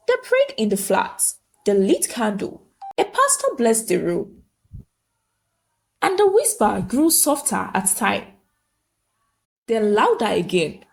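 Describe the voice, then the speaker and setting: A woman's voice: on the high side, creaky. A woman storyteller narrating a scripted folktale.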